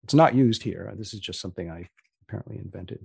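A man hosting a podcast, putting questions to a guest in a deliberate, wry, halting conversational way. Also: on the low side, vocal fry, a little mellow, breathy edge, no room sound, studio quality. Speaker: podcast host interviewing a guest.

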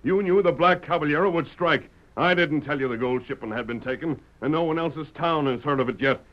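An actor playing a commanding, resolute masked lawman in a radio western, with formal, deliberate American diction. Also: fairly high pitch, lightly acted. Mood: accusing, confident. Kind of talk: old-time radio western acting. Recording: dull, lo-fi old radio recording.

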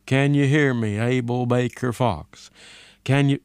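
A man voicing a weary, dutiful military radio operator who drones call signs in a chanting monotone, as in an audiobook story. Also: mid-range voice, a little slow, smooth, dry recording, clean studio sound, pitched above the performer's own voice, natural voice.

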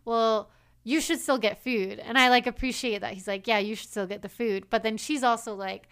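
A woman's voice: on the high side, fairly even intonation, moderate pace, fairly bright tone, smooth. A woman co-hosting a podcast, chatting casually and acting out quoted lines with lots of "like" fillers. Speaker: podcast co-host. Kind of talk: casual chat.

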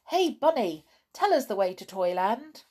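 A high-pitched, eager toy sailor played by a woman from a children's storybook. He is polite and cheerful, with a slightly husky edge to his voice. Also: big pitch swings, measured pace, darkish tone. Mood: calling out. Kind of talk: children's storybook read aloud.

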